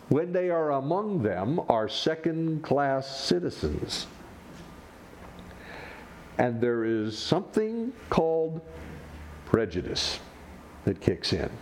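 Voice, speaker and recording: A man preaching a sermon as pastor, teaching with rhetorical questions and animated swings in his delivery. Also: mid-range voice, slight background noise.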